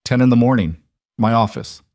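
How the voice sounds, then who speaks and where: A man's voice: medium pitch, fairly quick, darkish tone, dry recording, studio quality, close to an everyday voice. A man voicing a confident businesswoman with a clipped, firm, businesslike manner in a story. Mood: brisk. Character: self-possessed, terse, confident businesswoman.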